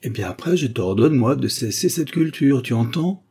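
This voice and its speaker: A big, gruff village mayor from a storybook , put on by a male reader, paternal yet bossy. He scolds bluntly in a husky, mellow voice. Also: medium pitch, normal speed, natural voice. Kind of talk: literary audiobook dialogue.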